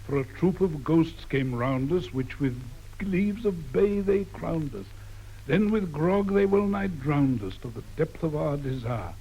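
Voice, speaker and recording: A man recites his own ballad with a rolling, sing-song rhythm, his pitch rising and falling freely. His voice is gravelly and a little husky, mellow rather than bright. The sound is dull and lo-fi, as if transferred from an old tape. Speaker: poet reading his own work. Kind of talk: poetry recitation.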